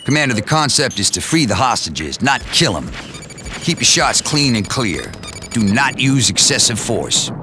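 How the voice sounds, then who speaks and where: A man's voice: mid-range voice, big pitch swings, moderate pace, close to an everyday voice. An actor playing a stern male military commander who gives clipped, authoritative mission orders in a game cutscene. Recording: music bed under the briefing.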